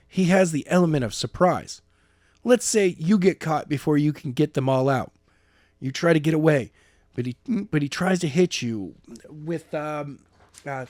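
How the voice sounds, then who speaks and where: A man's voice: fairly high pitch, a little mellow, no room sound, clean studio sound, voice pushed up. A man reading a stubborn, defiant young man with powers in his own plain voice, pushing his point insistently. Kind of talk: amateur audiobook reading.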